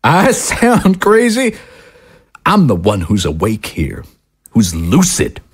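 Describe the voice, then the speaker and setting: A man's voice: medium pitch, wildly swooping pitch, slow, husky, heavily acted. A man playing a self-righteous, paranoid talk-show host husband in an audio drama, ranting and condescending. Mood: mocking, heated. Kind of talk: dramatic audio screenplay acting.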